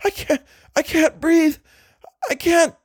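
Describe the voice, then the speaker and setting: A man voicing a tormented, disfigured archaeologist whose voice turns shrill and frantic. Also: moderate pace. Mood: hysterical. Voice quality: shrill, rising to shrieks. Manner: frantic, anguished pleading.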